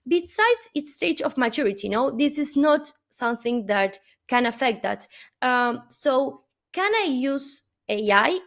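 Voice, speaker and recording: A woman from Argentina, a native Spanish speaker talking in English with an Argentine accent, giving an earnest webinar keynote full of "uh" fillers and questioning "no?" tags. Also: on the high side.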